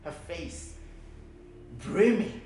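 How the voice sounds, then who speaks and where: An actor with a South African accent playing a grieving, bitter man who delivers a halting, emotive funeral eulogy as a theatrical monologue. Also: fairly high pitch, lively intonation, darkish tone, slight background noise. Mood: solemn, grieving. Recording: very echoey room, music behind.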